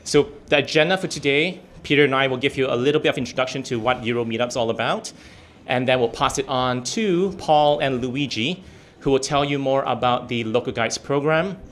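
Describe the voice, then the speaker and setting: A man with a deep voice today, hosting an event in a hotel meeting room, chatty and quick to laugh. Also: a bit bright, slight background noise. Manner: chatty, laughs easily, runs the agenda. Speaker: event host.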